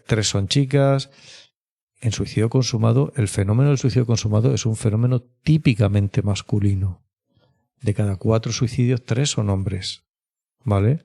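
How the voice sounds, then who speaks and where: A man with an accent from Spain speaks as an expert interview guest, explaining in a measured, conversational way and often checking in with short tag questions. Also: on the low side, vocal fry, dark tone. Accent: Spanish from Spain. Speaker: clinical psychologist, interview guest.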